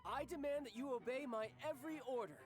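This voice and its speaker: A proud young commander. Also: male voice, extremely high pitch, fast, over music. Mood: commanding. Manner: grandiose speechmaking, falters and stumbles mid-speech. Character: proud young male military commander, theatrical. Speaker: game character. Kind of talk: video game voice acting.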